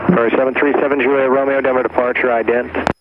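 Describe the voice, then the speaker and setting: A man's voice: mid-range voice, little pitch movement, quick pace. A man working as an air traffic controller, speaking clipped phraseology over aircraft radio. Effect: aviation radio. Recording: muffled, noisy aircraft radio.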